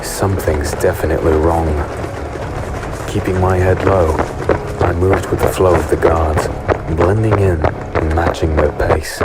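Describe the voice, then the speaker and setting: Very deep-voiced, wary rogue. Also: male voice, steady tone, a little slow, low-quality recording. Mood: anxious. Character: wary, hooded male rogue narrating his own infiltration. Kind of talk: first-person scripted narration, audio drama. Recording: loud music bed behind voice.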